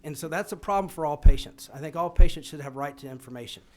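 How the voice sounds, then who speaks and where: A male doctor giving testimony to a state committee, his voice sitting a little high for a man. He talks haltingly, full of ums and restarts as he makes his case. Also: a little hiss or hum. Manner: hesitant, many fillers and restarts. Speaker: physician testifying. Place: legislative committee room.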